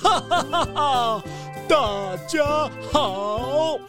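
Very high-pitched theatrical animal. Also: male voice, animated, drawn-out words, music in the background, heavily acted. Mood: jolly. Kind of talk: children's audio drama acting.

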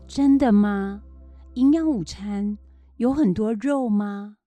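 Woman playing a slow-talking, fussing old grandmother. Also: medium pitch, dark tone, soft background music, natural voice. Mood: caring. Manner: fussing, chiding. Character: elderly grandmother, caring, fussing and scolding. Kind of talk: children's educational radio drama acting.

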